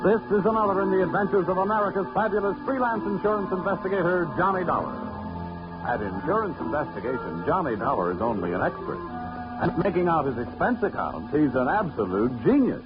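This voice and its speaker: Old-time radio announcer guy, bouncy lively pitch, loud music under, muffled. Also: a little fast. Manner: lively, showy announcer delivery.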